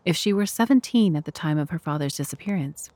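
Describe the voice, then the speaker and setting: A woman performs the reflective first-person narrator of an audio drama. The voice sits fairly low, with a measured, literary and wistful delivery. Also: expressive, dark tone, dry recording. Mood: wistful, musing. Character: wistful, reflective narrator doctor recalling events.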